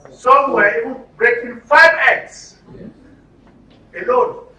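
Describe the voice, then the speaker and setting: A man's voice: extremely high pitch, slow pace, sharp tone. A man with a Nigerian accent leading a training session as an interactive lecture, asking rhetorical questions and repeating answers back. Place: indoor training room with audience. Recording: echoey room, distant mic, faint noise.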